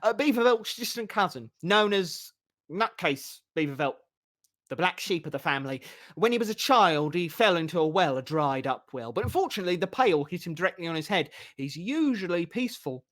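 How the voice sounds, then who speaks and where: A glib, chattering bard voiced by a male reader spins a tale with lively, bouncing delivery. The voice sits a little above the reader's own and moves freely in pitch. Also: a little fast. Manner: glib, animated storytelling. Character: glib, boastful male bard spinning tall tales.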